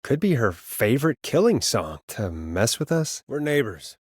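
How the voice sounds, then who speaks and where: A man's voice: mid-range voice, sing-song, moderate pace, husky, no room sound, clean studio sound. A man voicing a video game character, a harried but kind late-night radio DJ who is wry and reassuring and sometimes stammers. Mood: uneasy, wry. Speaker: radio host. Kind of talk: video game voice acting, conversational radio patter.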